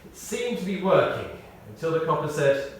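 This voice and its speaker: A British man reads a scripted memorial tribute as a eulogist, telling anecdotes in a measured way with pauses and emphasis. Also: on the high side, lively intonation. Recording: very echoey, distant mic, faint noise.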